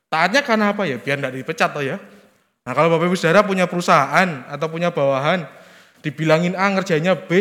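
A man's voice: sits high, fairly bright tone. A man preaching conversationally and informally with a Javanese-region Indonesian accent, his voice nasal, congested and a little worn from a sore throat. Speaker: preacher leading a church service. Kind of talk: conversational sermon with everyday examples. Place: church service, reverberant hall. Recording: long echoing hall reverb.

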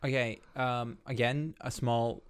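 A man's voice: medium pitch, steady tone, slow pace, smooth. A man from Latvia with a Latvian accent talks casually and hesitantly, hedging and searching for words as he explains his view. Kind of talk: casual discussion.

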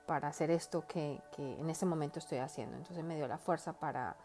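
Warm low-voiced woman hosting a podcast. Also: brisk, faint music behind. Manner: earnest and heartfelt, rambling with many fillers. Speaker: podcast host. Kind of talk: casual personal monologue.

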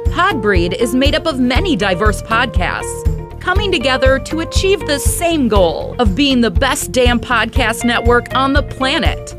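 A woman with a fairly high, lively, bouncing voice reads an upbeat network promo. Music plays clearly under her voice. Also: unhurried. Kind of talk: scripted promo read.

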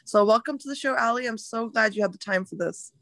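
Lively woman podcast host, dry sound. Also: fairly high pitch, dry recording. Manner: lively, reacting with quick exclamations. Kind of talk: casual podcast interview.

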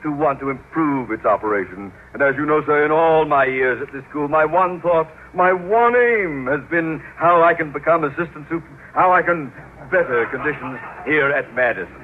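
A man's voice: on the high side, moderate pace, a little hiss or hum, natural voice. Man playing a pompous scheming principal, tinny old radio. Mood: fawning, pompous. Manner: pompous, self-important. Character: pompous, scheming middle-aged school principal angling for promotion. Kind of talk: old-time radio sitcom acting.

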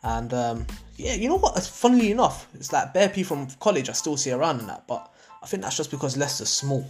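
A man's voice: a little high, sing-song, fast talker, faint music behind. A young man hosting a podcast in laid-back slangy banter, laughing often. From Portugal. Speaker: podcast host. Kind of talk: casual podcast chat.

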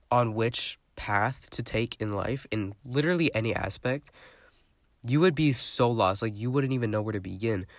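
A man's voice: medium pitch, no echo, studio quality. A young man hosting his own podcast, talking in a rambling, chatty way with a stuffy, congested voice. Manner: rambling, chatty, lots of fillers. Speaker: podcast host. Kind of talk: casual solo podcast monologue.

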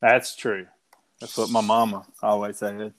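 A man's voice: lowish voice, flat delivery, measured pace, slight background noise. A man co-hosting a podcast in casual chat, joking, teasing and laughing often. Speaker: podcast co-host.

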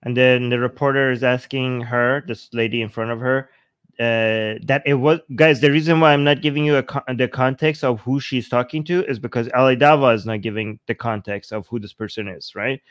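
A man's voice: mid-range voice, steady tone, brisk, clear, resonant tone, no room sound. A man hosting a livestream reaction show, thinking aloud and reading subtitles out to viewers. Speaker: show host.

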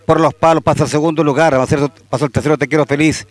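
Chilean track announcer, steady call, dry close sound. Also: male voice, fast, dry recording. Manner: steady calling that climbs louder and higher at the finish. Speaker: horse racing announcer. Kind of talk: live race commentary.

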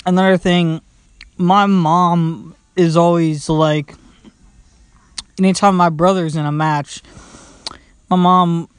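Slow-talking young man rambling on his podcast. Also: fairly high pitch, level tone, clear, resonant tone, no room sound, clean studio sound. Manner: rambling, lots of fillers and restarts. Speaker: podcast host. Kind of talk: casual solo podcast ramble. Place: at home, sitting indoors.

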